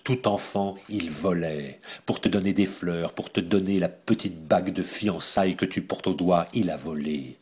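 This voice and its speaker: A man with a husky voice voicing a cruel, scheming woman villain in an audiobook, in a cold and cutting manner. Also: low voice, normal speed, few pauses, darkish tone. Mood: sneering.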